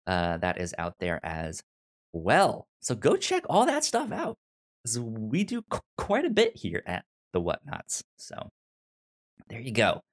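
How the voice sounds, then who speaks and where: Male podcast host, casual reviewer. Slow, halting delivery with frequent stammered repeats. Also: lowish voice, huge pitch swings.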